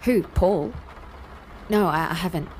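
A withdrawn young girlfriend played by a woman in an audio drama, with a slightly low voice. She is guarded and matter-of-fact, giving little away. Background ambience is clearly audible around her. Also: a little slow, a little hiss or hum, natural voice. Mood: evasive, guarded. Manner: flat, guarded, reticent. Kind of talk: naturalistic audio drama dialogue.

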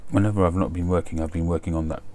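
A middle-aged man from England vlogging about pipe tobacco at his desk at home, talking in a relaxed, unhurried way with frequent ums. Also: low-pitched, slight background noise. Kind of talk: casual talk to camera.

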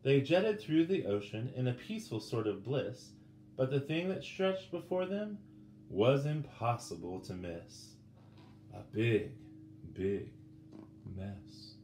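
A middle-aged man reading a children's picture book aloud with dramatic pauses, turning to the listeners with questions. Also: medium pitch, sing-song, a little mellow. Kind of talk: expressive children's storybook read-aloud. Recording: roomy indoor sound with faint background noise.

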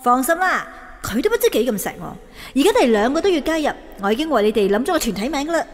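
A high, animated female ghost diva in a comic radio play. She is vain and bossy, delivering every line with boastful drama and big swings of pitch. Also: fast talker.

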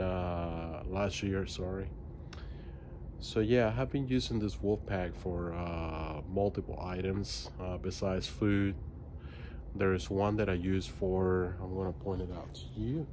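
A middle-aged man giving a casual, hesitant walkthrough of his gear as a vlogger, speaking plainly into a phone held at arm's length outdoors. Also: low voice. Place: outdoors at night beside a truck. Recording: clearly noisy handheld outdoor recording.

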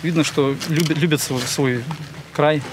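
A man answering interview questions about a trip in a plain, friendly, slightly halting way. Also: on the high side, steady tone, over loud music. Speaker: traveler interviewed.

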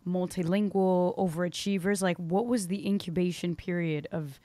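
Podcast host: woman in her thirties, slightly low, upbeat, dry studio mic. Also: steady tone, normal speed, mellow, dry recording. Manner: upbeat, chatty. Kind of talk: casual podcast interview. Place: home room with bookshelf. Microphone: studio mic on boom arm, video call.

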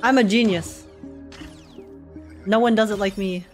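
A woman's voice: medium pitch, steady tone, unhurried, faint music behind. A woman streamer giving casual, joking live commentary as she reacts to a video game. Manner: wry, joking.

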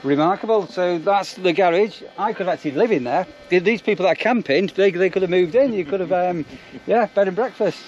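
Mellow enthusiastic Manchester man. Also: sits high, a little fast, highs cut off, slight background noise. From Greater Manchester, England. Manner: enthusiastic, admiring remarks. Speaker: vlogger, campsite warden. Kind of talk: casual walkaround tour. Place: outdoors at a campsite.

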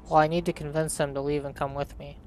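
Fast, monotone male game narrator. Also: a little high, faint music behind. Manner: flat, matter-of-fact. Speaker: let's play narrator. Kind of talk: scripted narration, reading player dialogue. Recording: voice-over with clear game ambience.

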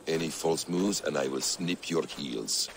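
An actor playing a cool, sly and menacing assassin in a video game, speaking in a deliberate, guarded, smooth way. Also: male voice, very deep voice, a little hiss or hum. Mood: threatening. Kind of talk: video game cutscene acting.

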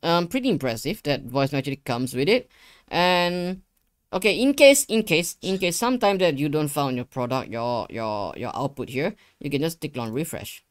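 A man's voice: on the high side, very animated, normal speed, no echo. Young guy, walking viewers thru a setup, chatty n repeats himself, close dry mic. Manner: informal, repeats phrases, addresses viewers. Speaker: tutorial host. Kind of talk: casual screen-share tutorial. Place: indoor gaming room at a desk.

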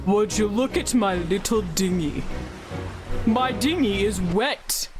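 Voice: medium pitch, slow, over music, close to an everyday voice. A performer voicing a daft, cheerful, rambling pirate captain in an improvised comedy dub. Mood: rambling, singsong. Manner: rambling, silly innuendo.

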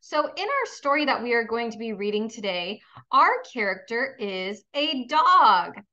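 Female kindergarten teacher, unhurried and bright, encouraging read-aloud delivery for little ones, slightly lo-fi sound. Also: a little high, big pitch swings. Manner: encouraging, instructional, addressing young pupils with questions. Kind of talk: children's read-aloud lesson.

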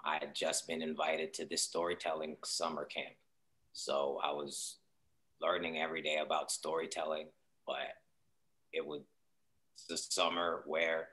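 Deep-voiced young man on a video call. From Seattle, Washington. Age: young adult. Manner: soft-spoken and reflective, many fillers. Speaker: guest artist. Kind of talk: casual interview on a video call. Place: home room, indoors.

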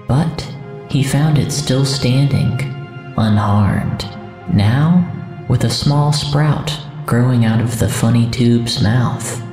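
A man narrating a scripted audio drama in a solemn, deliberate storytelling manner. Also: mid-range voice, a little mellow. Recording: echoey, with music underneath. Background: music.

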